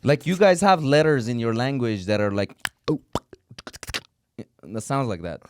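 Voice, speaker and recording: Teasing male podcast host, lively. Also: medium pitch, noisy background. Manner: teasing, quick banter. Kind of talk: casual interview chat.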